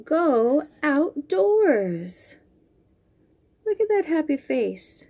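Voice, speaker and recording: Slow, lively woman reading to children. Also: high-pitched. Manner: expressive, drawn-out reading with chatty asides. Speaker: children's read-aloud host. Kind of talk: picture book read-aloud for young children.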